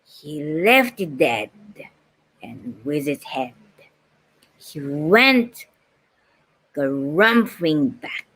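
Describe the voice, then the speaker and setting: A woman with a Japanese accent performing as a dramatic storyteller, reciting a heroic fantasy poem in a drawn-out, emphatic way. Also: sits low, big pitch swings, a bit bright, breathy edge, exaggerated voice. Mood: suspenseful. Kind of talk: theatrical poetry recitation.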